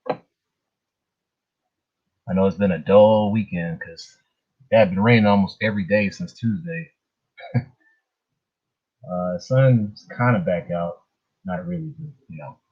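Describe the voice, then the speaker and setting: A man's voice: on the low side, unhurried, pauses often, husky. A man hosting a casual livestream, chatting informally with his viewers. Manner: informal, chuckles between remarks. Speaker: livestream host. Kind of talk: casual live chat with viewers. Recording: dull, muffled lo-fi stream audio.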